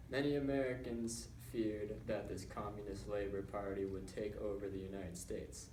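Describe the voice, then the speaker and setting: A young man reading a scripted school newscast report in a halting, recited manner. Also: fairly low pitch, a little mellow. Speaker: student news presenter in a class project. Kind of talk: scripted narration read as a newscast. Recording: roomy, distant mic with background noise.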